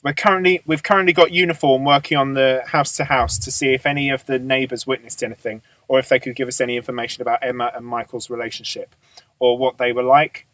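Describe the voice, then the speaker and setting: A man playing a businesslike police boss in an amateur radio drama, measured, matter-of-fact and authoritative. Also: mid-range voice, fairly even intonation, fairly bright tone, no echo, lightly acted, low-quality recording. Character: businesslike male police boss briefing his detectives.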